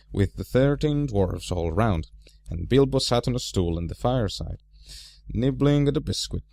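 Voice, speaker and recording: A man narrating a storybook as an amateur reader, with an expressive, theatrical delivery. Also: lowish voice, creaky.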